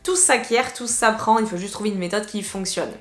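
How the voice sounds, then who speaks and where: A lively woman with a southwestern French accent talks through a casual video lesson, chatty and quick to drop English phrases in. Her voice sits mid-range in pitch. The room around her sounds echoey. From southwest France. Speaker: English teacher.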